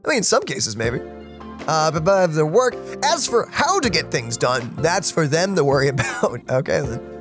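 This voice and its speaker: A man's voice: a little high, moderate pace, over music. A man hosting a let's play, playfully reading game dialogue aloud and adding casual commentary. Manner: playful, animated.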